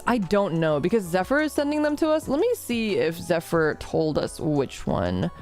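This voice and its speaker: Woman streamer, casual chat, a bit stuffy from a cold, self-deprecating, mid-range voice. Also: medium pitch. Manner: rambling, self-deprecating, reads chat aloud. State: slightly congested.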